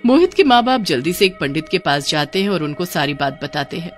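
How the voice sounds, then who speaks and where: A woman's voice: on the low side, very animated, brisk, soft background music. A woman storyteller giving dramatic scripted narration of a cartoon horror story.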